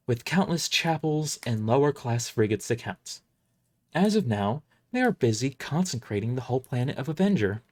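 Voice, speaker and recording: A man voicing a cold, haunting godlike warship AI in an audio drama, speaking in a formal, deliberate and menacingly courteous way. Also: medium pitch, a little mellow, close to an everyday voice.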